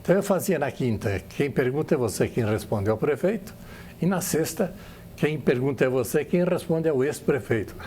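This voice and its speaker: Animated Brazilian man telling stories casually. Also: mid-range voice, fairly quick, slight background noise. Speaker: radio veteran being interviewed. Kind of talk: casual recollection.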